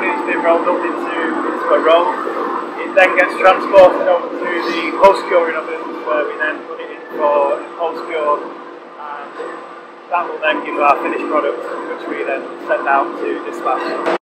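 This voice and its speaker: Male factory guide, thirties, fairly high voice, explaining machinery on site with music clearly underneath. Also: flat delivery, moderate pace, low-quality recording. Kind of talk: explaining a process on a tour. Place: inside a large working factory. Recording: music behind, factory hum.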